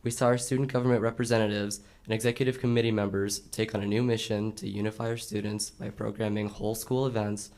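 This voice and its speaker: A man's voice: on the low side, fast talker, few pauses. A teenage male student formally reading prepared remarks at a school board meeting. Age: late teens. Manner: formal, steady recitation of figures. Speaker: student presenter.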